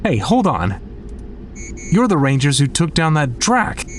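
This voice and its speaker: An actor playing an impatient, hotheaded young soldier in an audio drama. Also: male voice, fairly high pitch, very animated, unhurried, slight background noise.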